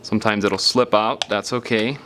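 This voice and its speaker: Low-voiced man giving a hands-on tutorial. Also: steady tone, moderate pace, fairly bright tone, a little hiss or hum. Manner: casual step-by-step explaining, trailing off mid-phrase. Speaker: repair tutorial presenter. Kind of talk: hands-on how-to narration, unscripted.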